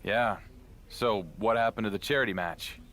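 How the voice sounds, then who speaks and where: A man's voice: fairly low pitch, moderate pace, a bit bright, natural voice. An actor playing a level-headed, skeptical champion wrestler in a video game cutscene, talking as a dry, incredulous straight man. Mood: casual, agreeable.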